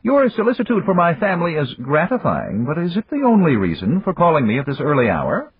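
A man doing a round, unhurried old business magnate in a storybook reading, his voice lifted well above the reader's own and sweeping through courteous, lecturing phrases. The tone stays husky, and the sound is thin and phone-like. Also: on the high side, huge pitch swings, voice pushed up, heavily acted. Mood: measured, amiable. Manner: measured, courteous, gently lecturing. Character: older Japanese male industrialist, round, calm, philosophical. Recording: tinny, phone-like lo-fi.

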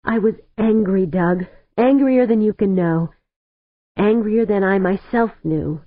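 A woman's voice: medium pitch, unhurried, no echo, voice pushed up, close to an everyday voice. A woman voicing an older female scientist and grandmother, tearful and bitter. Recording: tinny phone-like sound.

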